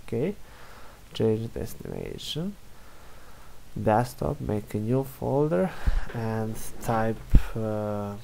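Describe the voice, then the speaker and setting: A young man from Serbia with a Serbian accent, a software developer walking through a screen-recorded demo in halting English full of fillers. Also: lowish voice, wildly swooping pitch, vocal fry, a little hiss or hum. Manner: halting, many fillers and restarts.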